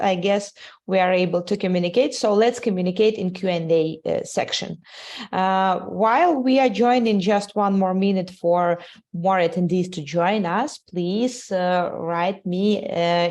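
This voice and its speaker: Ukrainian-accented woman hosting a webinar, gravelly. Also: lowish voice, darkish tone. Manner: halting, many "uh" fillers, non-native grammar. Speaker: webinar host, sales executive. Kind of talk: live webinar presentation.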